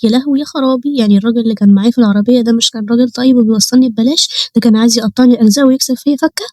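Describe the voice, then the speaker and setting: A woman's voice: a little high, racing, a little mellow, no room sound, close to an everyday voice. A woman voicing a carefree, cheeky young Egyptian woman with a blunt, streetwise manner. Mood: shocked.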